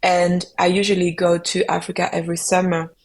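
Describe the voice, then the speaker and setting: A young adult woman, a French native speaker, answering podcast questions reflectively and pausing often to find her words. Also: on the low side, monotone. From Belgium. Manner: reflective, searching for words with frequent ums. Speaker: musician guest.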